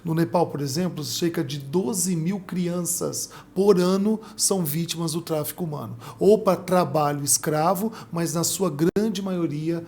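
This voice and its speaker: A Brazilian man telling lively stories as a missionary guest in a casual interview. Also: on the high side, measured pace, few pauses. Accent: Brazilian Portuguese. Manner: animated, vivid storyteller.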